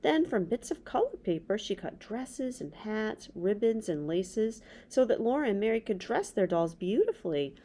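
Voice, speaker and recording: A woman's voice: medium pitch, very animated, normal speed, hardly any pauses, warm tone. A middle-aged woman hosting a children's read-aloud, reading a story and adding casual asides. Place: small indoor room with bookshelves.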